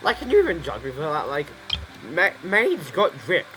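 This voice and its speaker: A male gamer commenting over his playthrough in loose, repetitive rambles with plenty of swearing. His voice is on the higher side and bright, with a faint hum of noise behind him. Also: wildly swooping pitch, moderate pace, poor audio. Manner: rambling, repeats himself, swears. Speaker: gameplay streamer. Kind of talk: casual game commentary.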